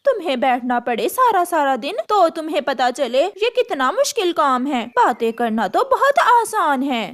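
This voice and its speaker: Casting: proud cartoon mother crow acted by a woman, very high, animated, dramatic. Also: normal speed, few pauses. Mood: irritated. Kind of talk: Hindi cartoon story voice acting.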